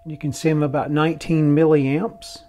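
Man with a very even, unvarying delivery. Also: a little high, normal speed. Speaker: host demonstrating a vintage radio repair. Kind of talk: hands-on workbench demonstration. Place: indoor workbench.

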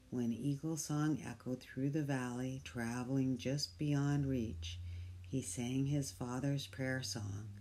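A storyteller reading a picture book aloud, gentle and soft, sometimes dropping to a whisper. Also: mid-range voice. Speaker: storybook reader.

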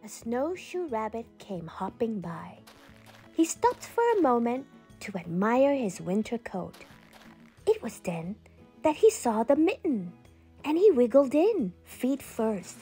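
A woman reading a children's picture book aloud as a storyteller, with expressive, dramatic and deliberate phrasing. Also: fairly high pitch, husky, soft background music.

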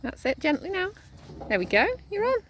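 Bright, lively-voiced woman coaching. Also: high voice, fairly quick. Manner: upbeat, praising, coaxing. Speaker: parent coaching a child rider. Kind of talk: casual encouraging instruction. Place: outdoors at a rural pony paddock and lane.

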